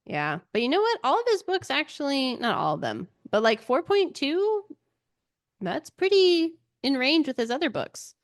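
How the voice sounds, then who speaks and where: A woman's voice: high voice, sing-song, moderate pace, no echo. Casual woman podcast co-host, close dry sound. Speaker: book club podcast co-host. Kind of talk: casual book chat.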